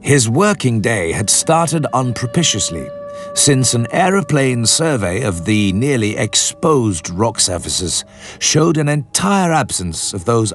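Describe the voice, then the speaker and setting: A male actor voices a grave expedition leader telling his story for radio. The delivery is measured, literary and serious, at a middle pitch. Music plays behind the voice. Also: lightly acted. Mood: grave, building suspense. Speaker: narrator. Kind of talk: dramatic scripted literary narration for radio. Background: background music.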